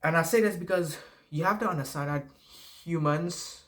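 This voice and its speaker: A man's voice: a little high, moderate pace. A young man with a Trinidadian accent giving casual, rambling advice to camera, swearing and tagging sentences with "right?". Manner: rambling, profane, frequent "right?" tags. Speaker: self-help vlogger.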